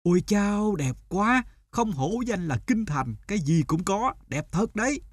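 An actor voicing an eager, wide-eyed young student in an animated fairy tale, full of admiring exclamations. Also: male voice, high voice, measured pace, exaggerated voice. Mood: wonder.

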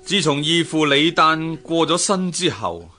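A man with a Hong Kong Cantonese accent playing an earnest, expressive seafaring merchant in a historical radio drama. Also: on the high side, a little slow, soft background music, exaggerated voice. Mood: earnest, persuasive. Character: earnest Ming-era seafaring merchant, husband and new father.

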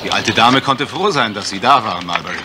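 An actor playing an urbane, teasing gentleman sleuth in a German radio crime drama, dry, ironic and probing. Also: on the low side, animated, a little fast, noisy background, close to an everyday voice. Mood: coaxing.

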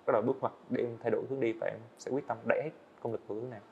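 Fast-talking young man, earnest guest. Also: medium pitch, steady tone, narrow, tinny audio, a little hiss or hum. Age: young adult. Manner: earnest, explains at length with fillers. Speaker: guest, student animator. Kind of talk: casual interview talk. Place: studio set, indoors.

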